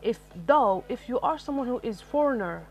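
A woman from Algeria, a native Arabic speaker, talks through writing advice in a loose, meandering podcast monologue. She corrects herself often and leans on fillers, with soft music playing faintly under her voice. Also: on the high side, lively intonation, moderate pace. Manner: rambling, self-correcting, lots of fillers. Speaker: podcast host. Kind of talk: solo podcast talk on writing.

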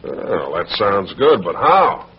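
An actor playing a gruff, puzzled, needling American policeman in an old radio crime drama. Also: on the low side, big pitch swings, slow, heavily acted. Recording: muffled vintage radio recording.

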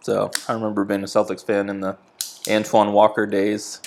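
A man in his thirties, from New England, talks in a low, gravelly voice. He rambles through a story with a relaxed, unhurried ease and plenty of filler words, like an ordinary guest on a podcast. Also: a little hiss or hum. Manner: easygoing storytelling with frequent fillers. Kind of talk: casual chat.

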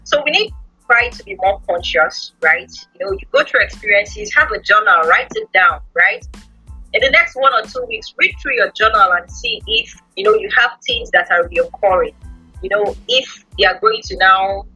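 A woman's voice: mid-range voice, sing-song, moderate pace, bright, forceful tone, breathy edge, dry recording, soft background music, rough recording. A woman, a podcast guest giving instructive advice and often checking with tag questions.

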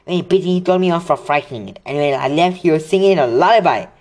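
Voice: mid-range voice, animated, quick pace, a little mellow, pitched above the performer's own voice, lightly acted. A reader voicing a grumbling schoolboy wizard, raised and emphatic, during a read-aloud of a children's novel. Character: boy schoolwizard, grumbling friend.

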